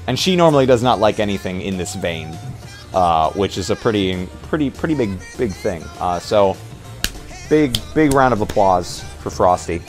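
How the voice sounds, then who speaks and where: A man's voice: lowish voice, lively intonation, moderate pace. Rambling man streamer over music. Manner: hesitant, rambling, repeats phrases. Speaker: streamer and roleplay player. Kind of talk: casual livestream chat. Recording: music playing behind.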